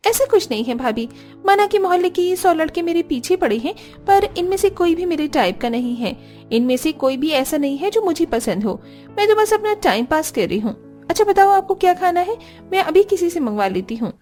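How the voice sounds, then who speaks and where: A woman's voice: very high-pitched, sing-song, a little fast, darkish tone, faint music behind. A woman voicing a spirited young woman in a cartoon story. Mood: dismissive, playful. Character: spirited young woman back from abroad, admired by neighbourhood boys. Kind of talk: Hindi cartoon voice acting.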